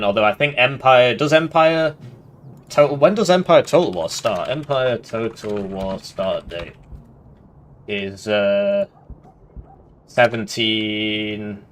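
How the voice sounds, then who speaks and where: A man's voice: lowish voice, fairly bright tone. A man with a nasal voice, commenting on his game live, reading in-game text aloud with casual asides. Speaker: streamer.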